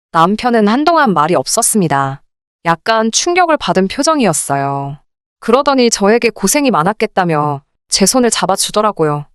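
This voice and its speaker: Female story narrator, polite and confiding, lively intonation, crisp clean voice, dry close sound. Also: medium pitch, no echo. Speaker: narrator of a radio-drama story channel. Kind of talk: scripted first-person story narration.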